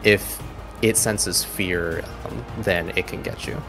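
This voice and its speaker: Game master, male, low voice, casual improvised narration over clear background music. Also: fairly even intonation, takes it slowly.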